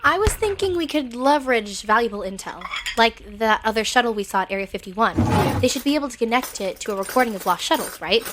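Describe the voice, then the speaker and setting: A woman's voice: on the high side, expressive, fast talker, noisy background, lightly acted. A woman playing a determined, headstrong young crew leader in a sci-fi audio drama, direct and insistent. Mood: uneasy, planning.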